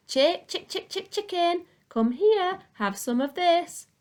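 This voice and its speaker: A woman voicing an eager young boy who shouts with excitement in a high, bright voice. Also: slow pace, full character voice.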